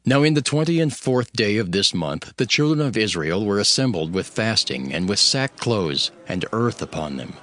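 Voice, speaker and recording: A man narrating scripture from a script in a solemn, measured manner. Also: fairly low pitch, sing-song, fairly bright tone. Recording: faint music under the voice.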